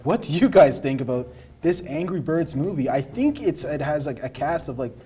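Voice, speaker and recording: A man hosting a casual round-table discussion, animated as he introduces topics. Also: on the high side, normal speed, soft background music. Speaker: host.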